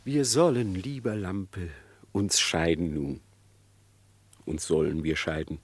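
An actor playing a sly, smug, murderous fox in classic radio drama, with an unctuous, theatrical delivery that savours each phrase. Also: male voice, sits low, slow pace, breathy edge, dry recording, exaggerated voice. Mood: flattering, feigned warmth.

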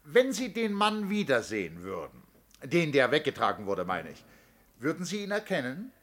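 Methodical lawyer questioning witnesses in old german radio play, pointed pauses, voice moves up and down a lot. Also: male voice, on the high side, wildly swooping pitch, fairly bright tone, exaggerated voice. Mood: formal, insistent.

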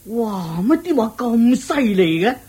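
An actor playing a jovial, curious king in a radio drama, with a rough husky voice and a Hong Kong Cantonese accent, exclaiming eagerly. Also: very high voice, very animated, slow pace, a little mellow, full character voice. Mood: amazed, curious. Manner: exclaiming, chuckling, repeating words eagerly. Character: excitable, jovial king, curious and easily delighted.

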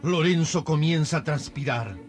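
A man's voice: on the high side, moderate pace. A man narrating a radio serial in a dramatic scripted style. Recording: music behind.

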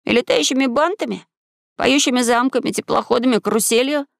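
A woman voicing an earnest, curious and bold seven-year-old boy in a storybook, asking clear, insistent questions. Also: fairly high pitch, brisk, no echo, studio quality, pitched above the performer's own voice. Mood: puzzled questioning.

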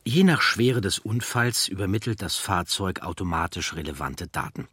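A man reading scripted documentary narration in a measured, serious and factual manner. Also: low voice, expressive, a bit bright, breathy edge, no echo.

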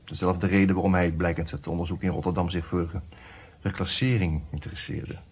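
Very deep-voiced formal lawyer. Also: male voice, steady tone, husky, exaggerated voice. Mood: measured narration. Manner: formal, deliberate, hesitant pauses. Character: male defence lawyer, narrating his case, hesitant under questioning. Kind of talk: Dutch radio play narration and dialogue. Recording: dull, noisy old radio recording.

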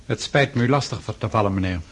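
An actor playing a stern, pious Russian freemason in a radio drama, speaking formally and insistently with a husky voice. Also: male voice, on the low side, slight background noise, lightly acted. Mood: courteous. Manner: formal, measured, preaching, insistent.

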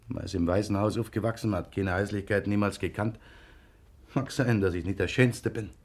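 Husky, meek, wounded suitor. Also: male voice, on the low side, darkish tone. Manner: plaintive, resigned. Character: meek, pious, wounded suitor resigned to misfortune. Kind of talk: naturalistic radio play acting.

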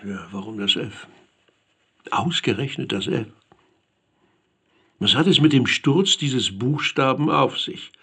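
A man narrating a literary radio play as a pensive storyteller, measured and deliberate, pausing between phrases. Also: mid-range voice, bright, forceful tone, slightly rough, heavily acted. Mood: pensive, wondering. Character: pensive male narrator musing over vanished letters.

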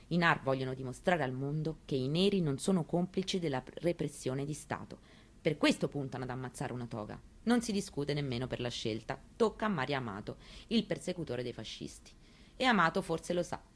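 An elderly grieving mother, played by an actress, speaks in a fairly low female voice. She is wistful and stubborn, confiding her memories in short, reflective phrases, as in an intimate radio drama monologue. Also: lively intonation, a little fast. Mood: grave, bitter.

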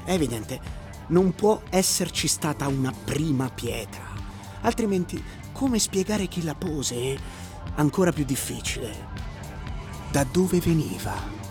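An actor playing a calmly evasive, patronizing sleeping-car conductor in a theatrical radio drama. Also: male voice, a little high, a little slow. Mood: smug, lecturing. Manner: patronizing, rhetorical, didactic. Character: condescending male sleeping-car conductor, calm and slyly evasive. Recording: voice over prominent music bed. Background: music.

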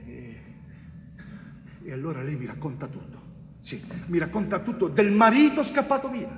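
An actor playing a wrongly convicted Sicilian bricklayer in a theatrical monologue, tormented and tender, pleading haltingly with repetitions and dramatic pauses. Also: male voice, a little high, sing-song. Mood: tender, confiding. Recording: echoey hall, distant mic, faint noise.

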